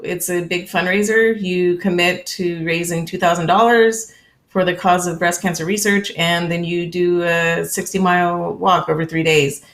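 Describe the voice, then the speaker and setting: A woman from California with a lowish voice and a gravelly edge. She talks in a friendly, conversational way over a video call and often pauses on an um. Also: steady tone. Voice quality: friendly, with frequent ums and small laughs. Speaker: interview guest. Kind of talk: casual conversational interview.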